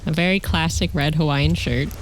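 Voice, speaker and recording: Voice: medium pitch, fairly even intonation, moderate pace, slight background noise, rough recording. A tabletop player giving a casual, informal description of their character.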